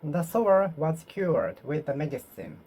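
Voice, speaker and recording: Solo learner, Japanese native, slow and halting, drills each phrase over and over. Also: medium pitch, sing-song, darkish tone, poor audio. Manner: repeats phrases, halting and self-correcting. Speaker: English learner. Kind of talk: solo speaking practice, talking to oneself.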